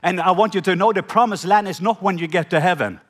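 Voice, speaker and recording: A middle-aged man from Norway with a Norwegian accent, preaching a sermon. He slows down to read scripture and has the congregation repeat key words back. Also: high voice. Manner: slows down when reading scripture, prompts the audience to repeat words. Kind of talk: sermon with scripture reading and call-and-response.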